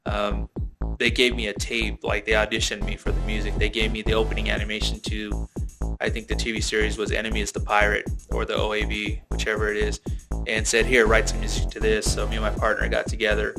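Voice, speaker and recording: Creaky voiced guy telling his story in an interview, electronic music behind. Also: fairly low pitch, completely flat delivery, brisk. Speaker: studio composer, interviewee.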